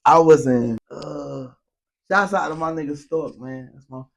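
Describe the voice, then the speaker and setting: A man's voice: a little high, measured pace, creaky, a crowd in the background. A man in his mid-thirties co-hosting a podcast, talking casually in slang and stammering as he starts his sentences. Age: 30s. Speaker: podcast co-host. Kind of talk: casual chat.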